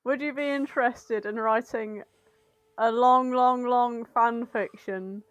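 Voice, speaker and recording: A woman's voice: a little high, fairly even intonation, slow, no room sound, lo-fi sound. A woman on a comedy podcast, chatting casually in a playful, teasing way. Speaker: podcast panellist.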